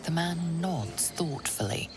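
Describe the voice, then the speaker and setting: A woman narrating a fantasy video game as a measured, dramatic storyteller. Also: low voice, lively intonation, over music. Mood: measured, wry. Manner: deliberate, dramatic.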